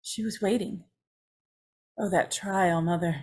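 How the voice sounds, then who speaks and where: A woman playing a tormented, fragile young prisoner in an old-time radio drama, halting and repeating herself in anguish. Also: lowish voice, steady tone, darkish tone. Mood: anguished pleading. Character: tormented young condemned woman, haunted and fragile, confessing to Mother.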